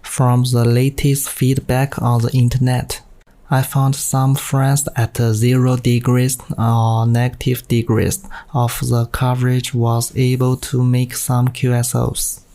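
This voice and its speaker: A man with a Chinese accent narrates a prepared amateur radio presentation. His delivery is stilted and very even in pitch, at an unhurried pace. The tone is mellow and dark. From China.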